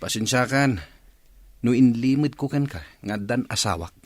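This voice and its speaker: An actor playing a smooth-talking married womanizer in a radio drama, casual and coaxing. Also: medium pitch, animated, moderate pace, background noise, lightly acted. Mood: defensive, irritated. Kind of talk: radio drama acting in Ilocano.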